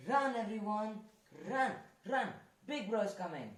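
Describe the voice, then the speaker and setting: Echoey, distant woman playing an excitable storybook animal. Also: medium pitch, unhurried, close to an everyday voice. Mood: alarmed. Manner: repetitive, exclamatory. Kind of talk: children's puppet show acting. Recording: echoey room, distant microphone.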